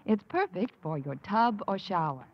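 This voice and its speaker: A woman's voice: sing-song, normal speed. A woman reading a coaxing soap commercial in a 1950s radio broadcast. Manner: coaxing, sales pitch. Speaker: commercial announcer. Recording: dull lo-fi old radio broadcast.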